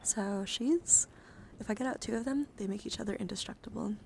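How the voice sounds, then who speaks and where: A woman hosting an ASMR livestream, slipping between whispering and soft speech in a gentle, chatty, encouraging way as she shows things to viewers. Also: fairly high pitch, a little fast, gravelly, a little hiss or hum. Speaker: livestream host. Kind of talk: whispered ASMR, showing and reading out trading cards. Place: indoor livestream, hands-on camera.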